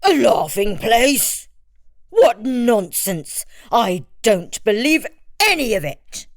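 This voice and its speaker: Scornful, threatening jungle tiger female narrator performing, roaring slowly with big swings in pitch. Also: big pitch swings, dry recording, studio quality, exaggerated voice. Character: fierce, scornful male tiger.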